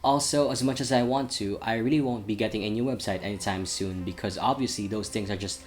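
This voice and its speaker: Young man with a lowish voice. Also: fairly quick. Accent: international school. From Saudi Arabia. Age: young adult. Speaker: channel host. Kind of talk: vlog update talking to camera.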